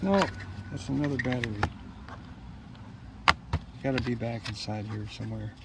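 Guy with a creaky voice mumbling thru an unboxing in short bits, outside w/ noise behind him. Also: medium pitch, normal speed. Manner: hesitant, musing to himself in short fragments. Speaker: vlogger unboxing gear. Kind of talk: casual unscripted unboxing chat. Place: outdoors in a leafy yard. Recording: outdoor ambient noise behind voice.

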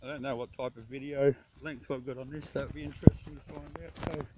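A man's voice: mid-range voice, little pitch movement, brisk, dull audio. An Australian man giving a casual, slangy, joking camcorder-style tour outdoors, swearing freely as he goes. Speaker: camper filming a campsite tour. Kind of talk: casual handheld video tour. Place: outdoors at a bush campsite. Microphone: handheld phone or camera. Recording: outdoor handheld recording with clear background noise.

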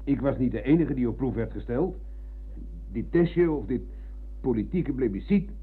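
An actor playing a proud, touchy older comrade and former prisoner of war, insistent and emphatic, in a Dutch radio drama. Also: male voice, mid-range voice, expressive, normal speed, breathy edge. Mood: defensive. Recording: muffled, dull old radio recording.

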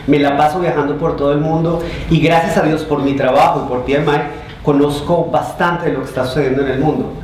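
A man's voice: fairly high pitch, steady tone, fairly quick, a little mellow. Echoey Colombian man presenting at a conference. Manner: conversational, addresses the audience with questions and check-in tags. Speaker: conference speaker. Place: large indoor conference hall. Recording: echoey conference hall, distant microphone, noisy.

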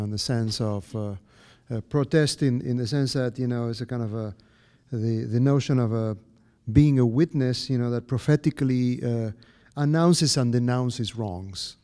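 Brief: man, Argentine accent, mellow dark voice, halting scholarly audience question. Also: medium pitch. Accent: Argentine Spanish. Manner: halting, many fillers and restarts, academic. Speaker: audience member. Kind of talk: question from the floor.

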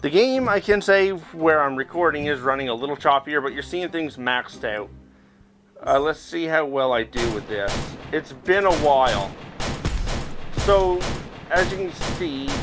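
A middle-aged man talks through a video game as he plays it. His voice sits a little high for a man, and his delivery is casual and explanatory, poking fun at his own skill. Faint game music plays behind him. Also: expressive, unhurried. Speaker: gaming video host. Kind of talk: casual gameplay commentary. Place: indoors, recording while playing VR game.

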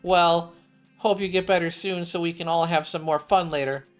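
A man voicing a bubbly, excitable young woman from a game. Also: on the high side, normal speed, smooth, soft background music, pitched above the performer's own voice, close to an everyday voice. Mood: cheerful.

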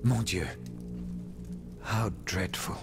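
A man's voice: fairly low pitch, fairly even intonation, extremely slow, over music. An actor playing a pompous, silky statesman and schemer in a video game, theatrical, florid and courtly. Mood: dismayed, evasive. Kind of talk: video game cutscene acting.

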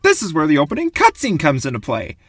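A man's voice: fairly high pitch, fast, no echo. Young guy doing jokey game narration, super swoopy voice, dry close sound over music. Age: young adult. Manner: animated, wry, casual swearing. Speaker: video essay narrator. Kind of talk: scripted comic narration about video games.

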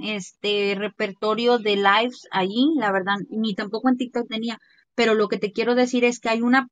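A woman's voice: on the high side, dry recording, dull audio. A woman, hosting a podcast in a rambling, chatty way with many restarts. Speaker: podcast host. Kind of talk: casual chat.

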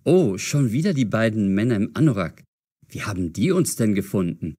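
An actor playing an alert, excitable young man in an audio drama. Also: on the low side, normal speed, husky. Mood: startled.

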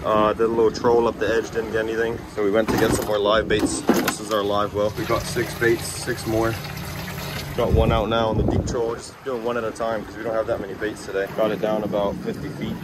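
A young man talking casually and excitedly while filming a fishing trip on a boat, swearing freely. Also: lowish voice, brisk. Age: young adult. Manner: excited, swears casually, calls people bro. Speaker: fishing vlogger. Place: open boat at sea, outdoors. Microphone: handheld phone camera. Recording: loud wind and boat noise.

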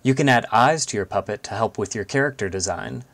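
A man's voice: fairly low pitch, brisk, fairly bright tone. A man giving scripted instructional narration as a puppetry teacher.

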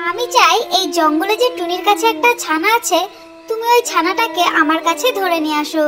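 Squeaky, wheedling little ghost girl. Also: brisk, music in the background. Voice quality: squeaky, childlike. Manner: wheedling, whiny. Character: small ghost girl, pleading, childish, kind-hearted. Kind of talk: children's cartoon voice acting.

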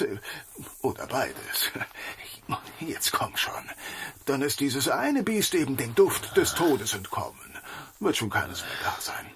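Slow, swooping, sly master thief played by a man. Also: on the low side, fairly bright tone, slight background noise. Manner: theatrical, chatty, conspiratorial, drops into whispers. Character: Taurus, fat talkative male master thief, sly and worldly. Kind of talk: fantasy audio drama acting.

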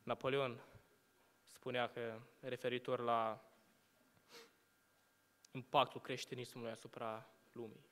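A young man preaching a sermon from a lectern, asking rhetorical questions and letting his phrases fall to hushed endings. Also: medium pitch, little pitch movement, long pauses. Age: young adult. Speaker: student preacher. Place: church hall at a lectern. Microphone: lectern microphone. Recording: long hall reverb.

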